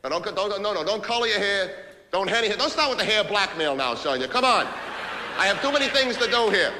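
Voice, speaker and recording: A man's voice: high voice, animated, a little fast, bright, forceful tone, exaggerated voice. An actor playing an abrasive, self-important New businessman, ranting impatiently in a theatrical comic monologue of phone calls. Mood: strained, placating. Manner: impatient, ranting, barking orders and repeating himself. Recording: reverberant hall.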